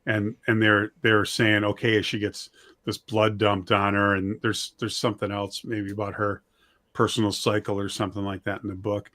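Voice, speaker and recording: A man talking in a casual discussion, conversational and halting, telling things as anecdotes. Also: on the low side, little pitch movement, sharp tone.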